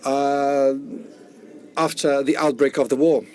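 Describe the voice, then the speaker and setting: A man's voice: medium pitch, little pitch movement, takes it slowly, a little mellow. A man doing simultaneous interpretation at a conference, often restarting and rephrasing as he renders the speaker's words.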